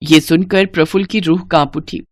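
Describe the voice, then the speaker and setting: A woman's voice: fairly low pitch, fairly even intonation, moderate pace, no echo, studio quality. A woman storyteller reading scripted narration for a moral storybook tale.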